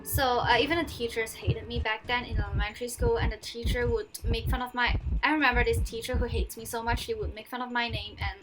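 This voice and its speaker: A woman's voice: fairly high pitch, fairly even intonation, fast talker, few pauses, crowd noise, poor audio. A young woman with a Cantonese accent, chatting casually and telling stories while she plays, with fillers and restarts. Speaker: gaming vlogger. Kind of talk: casual storytime over gameplay. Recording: voice-over with loud game music.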